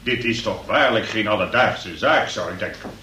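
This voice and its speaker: An actor in a radio play as a pompous examining magistrate, formal, ponderous and wordy. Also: male voice, lowish voice, moderate pace, sharp tone, a little hiss or hum. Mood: stern, lecturing. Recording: roomy, distant radio play recording.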